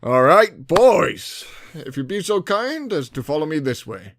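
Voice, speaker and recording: A man playing a brisk, friendly master who guides new recruits in an audio drama, in an instructive, announcing manner. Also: on the high side, very animated, no room sound. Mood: matter-of-fact.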